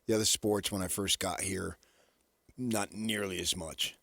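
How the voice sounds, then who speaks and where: A middle-aged or older American man with a low, creaky voice co-hosts a sports talk show. He chats casually and rambles a little, doubling back on his words. The studio sound is close and dry. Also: steady tone, measured pace, a bit bright, no echo. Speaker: sports radio co-host. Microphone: close studio radio microphone.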